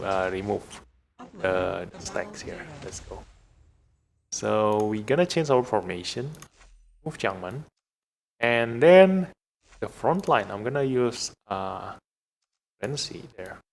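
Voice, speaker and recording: A man from Indonesia with an Indonesian accent gives casual live gameplay commentary as a streamer, thinking aloud in a halting way. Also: fairly low pitch, gravelly. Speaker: game streamer.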